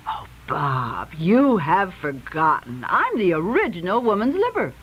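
Bold, flirtatious, animated career woman. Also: lowish voice, unhurried, slightly rough, exaggerated voice. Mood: assertive. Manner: breezy, forward, teasing. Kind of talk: radio domestic comedy-drama acting. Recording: slightly muffled radio recording.

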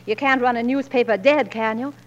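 An actress playing an earnest, protective frontier wife with plenty of spirit, in 1940s radio drama style. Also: female voice, a little high, steady tone, brisk, lo-fi sound, lightly acted. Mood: determined, persuasive.

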